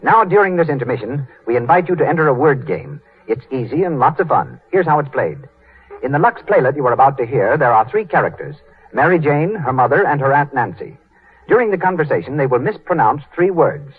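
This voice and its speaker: A man's voice: a little high, lively intonation, a little fast, husky. A man with an American accent announcing in 1930s radio style. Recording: tinny, band-limited 1930s radio broadcast.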